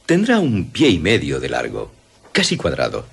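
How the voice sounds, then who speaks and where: A deferential young craftsman in a gothic radio drama. His voice is deep and creaky, with big swings of intonation as he serves his client. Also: huge pitch swings, normal speed, full character voice. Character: deferential young picture restorer's helper. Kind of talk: Spanish radio drama acting.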